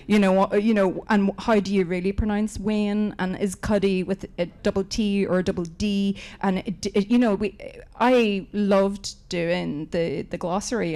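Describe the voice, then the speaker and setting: A woman's voice: mid-range voice, warm tone. A woman author with a Northern Irish accent, chatting casually on a live panel with wry, self-mocking humor.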